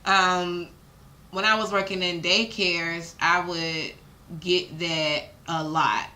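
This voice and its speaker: A woman's voice: fairly low pitch, steady tone, takes it slowly, pushed and bright. A young American woman talking candidly as an interview guest, informal and emphatic with slangy, salty language. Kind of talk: casual candid interview talk.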